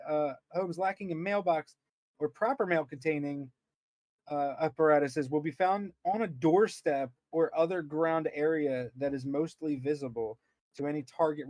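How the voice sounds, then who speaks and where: A man's voice: a little high, darkish tone, no echo. A man co-hosting a podcast, reading aloud with casual commentary, stumbling over words and repeating himself as he goes. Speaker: podcast co-host.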